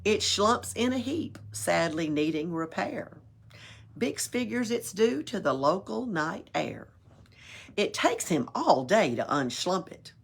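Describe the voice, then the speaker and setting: Expressive woman reading a storybook. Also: fairly low pitch, takes it slowly, vocal fry, breathy edge. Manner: expressive, playful, adds asides and chuckles. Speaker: library storytime reader. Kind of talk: reading a picture book aloud.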